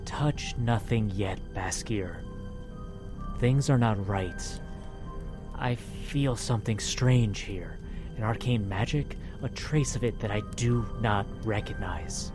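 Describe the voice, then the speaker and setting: A man plays a cautious, solemn sorcerer in a gothic horror drama. His voice is fairly low and very slow, grave in every phrase, over clear music. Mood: wary, uneasy. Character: wary, grave male sorcerer who senses arcane magic.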